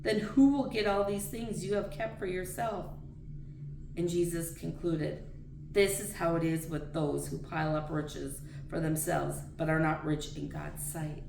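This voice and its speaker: A woman's voice: low voice, fairly even intonation, a little slow, creaky, a little mellow. A woman reading scripture aloud in an earnest, plain read-aloud manner, with the occasional stumble. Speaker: reader of daily scripture readings. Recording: roomy echo, distant mic, faint noise.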